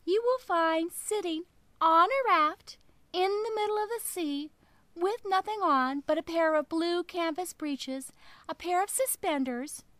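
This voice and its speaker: A woman voicing a tiny, clever, eager male fish in a children's storybook, small and squeaky, with a precise, coaxing delivery. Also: measured pace, fairly bright tone, no echo. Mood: eager, instructive.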